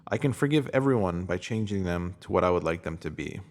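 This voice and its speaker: A man with a fairly low voice reads a written spiritual essay aloud. His delivery is calm and measured, steady and reflective from line to line. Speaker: reader. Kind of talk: scripted reading of a spiritual essay.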